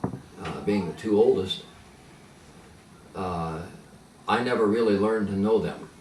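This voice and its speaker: An older man who grew up speaking Pennsylvania Dutch, reminiscing slowly with many pauses and fillers. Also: lowish voice, a little mellow. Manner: halting, many fillers and restarts. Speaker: interviewee. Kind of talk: reminiscing in conversation. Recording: echoey room, mic at a distance, faint noise.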